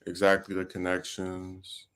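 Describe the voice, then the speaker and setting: A man's voice: low-pitched, monotone, unhurried, a bit bright, smooth. A man hosting a casual talk stream, venting about politics in a rambling way, full of fillers and restarts. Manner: frustrated, venting. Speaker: livestream host.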